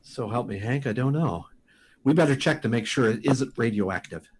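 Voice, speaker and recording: A man's voice: medium pitch, animated, moderate pace, heavy background noise, natural voice. An actor playing a shaken male test pilot in a radio drama, urgent and insistent. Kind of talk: live radio drama acting.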